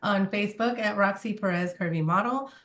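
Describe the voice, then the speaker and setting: A woman's voice: medium pitch, a little fast, a bit bright. A woman with a Southern US accent co-hosting a casual movie review podcast, bubbly and giggly, with lots of ums and likes. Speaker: podcast co-host.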